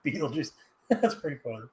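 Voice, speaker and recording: Guy in his 40s, kinda high voice, joking around and teasing his buddies on a chat show. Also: darkish tone. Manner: teasing, jokey. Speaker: co-host. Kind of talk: casual chat.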